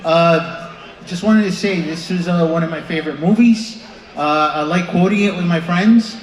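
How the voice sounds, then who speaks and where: A man's voice: a little high, moderate pace. A young man in the audience, shy and hesitant, asking the panel a question. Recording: echoey hall.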